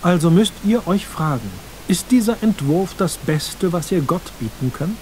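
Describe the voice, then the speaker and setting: A measured, pious prior, slightly raised voice, faint hiss. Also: male voice, sits high, animated, a little mellow, low-quality recording. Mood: solemn. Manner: measured, solemn, instructive. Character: calm, devout male prior, middle-aged. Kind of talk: game voice acting.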